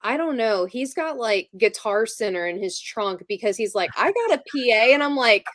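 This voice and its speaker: A woman's voice: a little high, expressive, moderate pace, fairly bright tone, no room sound, studio quality. A woman chatting casually in a giggly manner, peppering her talk with "like". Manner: giggly, frequent laughing, heavy use of "like". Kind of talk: casual chat.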